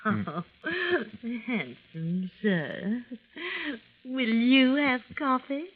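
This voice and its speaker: A woman's voice: fairly high pitch, expressive, exaggerated voice. An actress playing a sly, falsely sweet rooming-house keeper in 1940s radio style, drawing out her insinuating words. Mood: cloying. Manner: insinuating, drawn-out, echoing phrases. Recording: tinny, band-limited 1940s radio recording.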